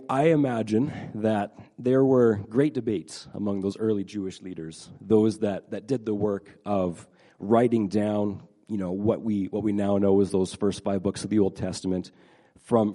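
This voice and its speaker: A middle-aged pastor preaching a casual sermon, a little nasal from a head cold, halting and repeating words with many fillers. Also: male voice, fairly low pitch, steady tone, mellow.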